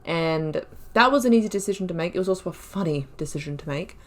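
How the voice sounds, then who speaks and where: A woman's voice: on the low side, lively intonation, fast talker. A woman giving let's-play commentary, musing aloud in a chatty way and laughing often. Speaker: YouTuber playing a video game.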